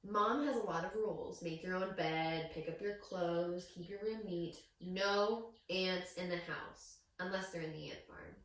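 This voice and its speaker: A woman's voice: lowish voice, unhurried, few pauses. Woman reading aloud in a very echoey room. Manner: addresses young listeners with questions and asides. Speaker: teacher reading a picture book aloud. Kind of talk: expressive read-aloud storytelling for young children. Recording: very echoey room, distant microphone.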